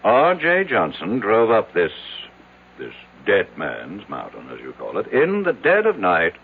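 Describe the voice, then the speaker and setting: Deep-voiced arrogant tycoon in vintage radio drama. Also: male voice, wildly swooping pitch, unhurried, slightly rough, heavily acted. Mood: challenging. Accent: American. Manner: brash, self-assured, declamatory. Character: arrogant, skeptical, self-made rich businessman. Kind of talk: old-time radio drama acting. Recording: narrow-band, noisy old radio recording.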